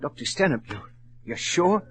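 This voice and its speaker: An actor playing a nervous, despairing servant, halting and melodramatic in 1940s radio drama style. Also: male voice, a little high, sing-song, slight background noise. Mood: stunned. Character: nervous, despairing male servant, easily overwrought.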